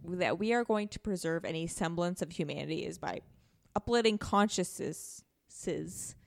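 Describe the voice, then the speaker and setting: A woman chats informally about a video game as a podcast guest. Her voice sits on the low side and has noticeable fry. She often slips into quoting her own thoughts. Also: measured pace. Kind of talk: casual chat, discussing a video game.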